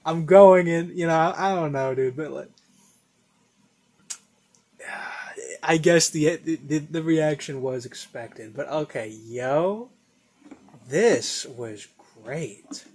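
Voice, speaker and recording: A man's voice: on the high side, takes it slowly, darkish tone, breathy edge. A young man hosting a reaction video from a room at home, chatting casually, rambling and repeating himself. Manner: rambling, repeats "yo" and "dude", trails into mumbles. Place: home room with a flag on the wall.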